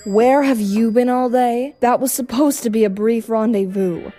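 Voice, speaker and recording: Woman voicing mellow, world-weary club madam. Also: on the high side, normal speed, soft background music, close to an everyday voice. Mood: exasperated. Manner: languid, dry, sardonic. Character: wry, world-weary older-sister type female cartoon club owner. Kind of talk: animated comic dub acting.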